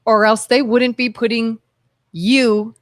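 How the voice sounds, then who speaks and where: A woman's voice: fairly high pitch, flat delivery, takes it slowly, dry recording. A woman co-hosting a talk show from a studio, speaking emphatically and insistently. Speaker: radio talk show co-host. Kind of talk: casual opinion talk.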